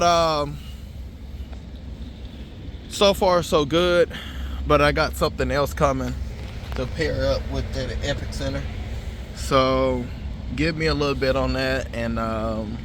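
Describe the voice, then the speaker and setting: A man's voice: mid-range voice, steady tone, slow pace, pauses often. A man talking casually and informally in slangy, swear-sprinkled speech, as a vlogger walking viewers through hands-on work. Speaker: car audio vlogger. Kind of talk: casual hands-on install vlog talk. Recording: noisy vehicle and outdoor phone-video sound.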